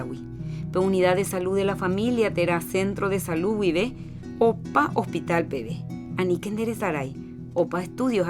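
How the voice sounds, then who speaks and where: A woman with a Paraguayan accent reading a scripted public health announcement in a measured, informative way. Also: fairly low pitch. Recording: music bed under voice.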